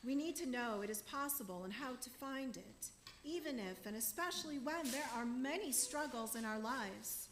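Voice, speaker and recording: Bright, high-voiced woman preaching. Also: expressive, moderate pace, echoey room, a little hiss or hum. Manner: warm, earnest preaching to a congregation. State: losing her voice. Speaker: minister. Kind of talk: sermon. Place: church sanctuary.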